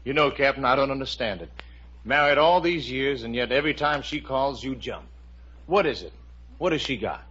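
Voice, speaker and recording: An actor playing a remorseful, devoted gentleman husband in a 1950s radio drama, earnest and tender in delivery. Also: medium pitch, lively intonation, normal speed, slightly rough. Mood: puzzled. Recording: muffled vintage radio recording. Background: music.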